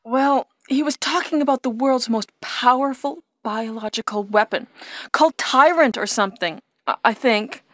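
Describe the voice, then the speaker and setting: An actress playing a brave young heroine in a video game, earnest and deliberate, with stilted delivery. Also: female voice, fairly high pitch, dry recording, clean studio sound, close to an everyday voice. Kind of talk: video game cutscene acting.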